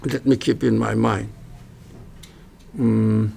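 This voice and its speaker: A man with a Turkish accent, a native Turkish speaker, giving an informal religious studies lecture in halting English, restarting phrases and making wry jokes at his own expense. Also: on the low side, little pitch movement, vocal fry, warm tone, a little hiss or hum. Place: lecture room at a university religious center.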